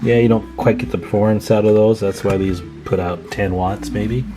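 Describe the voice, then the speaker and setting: A man's voice: on the low side, steady tone, normal speed, music in the background. A man giving a casual, hands-on walkthrough as a hobbyist, thinking aloud in a folksy, musing way. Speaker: hobbyist presenter.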